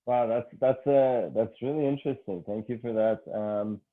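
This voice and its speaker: A Canadian man hosts an online conversation, restating the guest's points and setting up questions with frequent restarts. His voice sits at a middle pitch. The audio is narrow and tinny, like a phone line. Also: normal speed. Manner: summarizing and framing questions, repeated starts. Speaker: host. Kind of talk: online interview.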